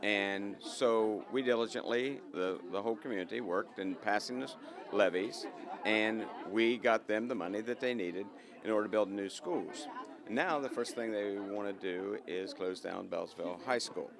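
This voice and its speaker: A man's voice: lowish voice, normal speed, creaky. A man with an American accent giving an earnest interview soundbite as a local resident. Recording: busy crowd chatter behind.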